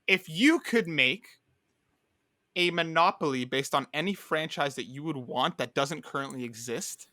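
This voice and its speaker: Man, podcast host, bright tone, dry sound, casual banter, rambling and jokey. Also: a little high, lively intonation, unhurried, dry recording.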